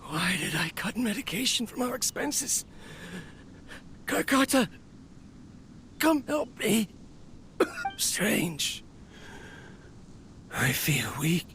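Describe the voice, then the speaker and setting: A worn-out, lonely middle-aged machine researcher whose voice sits a little high. He talks in a heavy, self-reproaching way, with a faint hum of noise behind. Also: big pitch swings, very slow, long pauses, fairly bright tone, exaggerated voice. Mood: desperate. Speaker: scientist recording tape logs. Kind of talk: video game voice acting.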